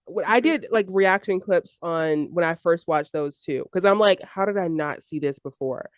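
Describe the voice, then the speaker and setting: A woman's voice: on the low side, normal speed, no echo, clean studio sound. A woman hosting a casual podcast chat, talking with lots of fillers and easy laughter. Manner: chatty, laughing, filler-heavy. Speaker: podcast host.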